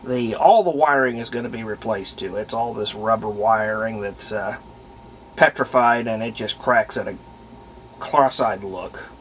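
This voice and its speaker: A man's voice: lowish voice, a little slow, fairly bright tone, slight background noise, poor audio. A man giving a casual, unscripted hands-on repair demonstration, plainspoken and thinking aloud as he works. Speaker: host of a radio restoration video.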